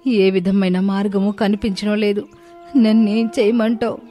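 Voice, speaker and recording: A woman's voice: medium pitch, flat delivery, normal speed, warm tone, soft background music, close to an everyday voice. A woman voicing a distressed, loving mother cow in a storybook tale, lamenting and pleading. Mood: anguished, helpless.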